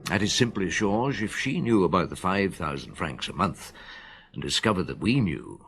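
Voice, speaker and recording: Musing old detective narrator. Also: male voice, sits low, animated, a bit bright, breathy edge, exaggerated voice. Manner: musing, measured. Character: reflective veteran detective narrating. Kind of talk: radio drama narration.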